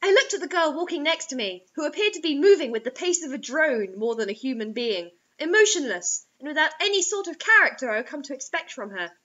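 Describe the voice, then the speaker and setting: Young female story reader, theatrical and mocking, bright animated delivery, slightly dull webcam audio. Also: sits high, fast talker, few pauses. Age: young adult. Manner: expressive, theatrical. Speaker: host reading bad fiction aloud. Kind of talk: dramatic mocking story reading. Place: small indoor room.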